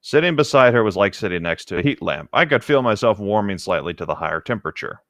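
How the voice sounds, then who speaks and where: A man with a low-leaning voice reads aloud in a relaxed, casual style. He drops in dry, teasing remarks, and the sound is tight with hardly any room on it. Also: fast talker, dry recording. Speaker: co-host of a comedic read-along. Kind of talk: casual reading aloud with offhand commentary.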